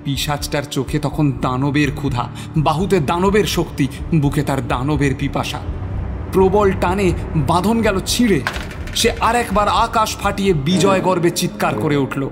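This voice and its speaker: A man's voice: a little high, animated, normal speed. A man narrating a horror audio story from a script in a dramatic, suspenseful, theatrical manner. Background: music.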